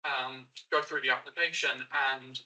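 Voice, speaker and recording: An eighteen-year-old man, loud and chatty, telling his story with rambling asides. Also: mid-range voice, fairly even intonation, normal speed. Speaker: young maker and guest. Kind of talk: casual spoken story. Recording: roomy sound from a distant mic.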